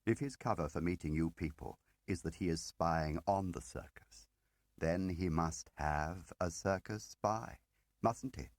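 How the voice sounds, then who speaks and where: A man reading the part of an ageing spymaster in an audiobook, deliberate and probing, pausing between phrases and pressing with questions. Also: low voice, dry recording, studio quality. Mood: probing, insistent. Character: ageing male spymaster, measured, probing, quietly relentless. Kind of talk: dramatic audiobook character reading.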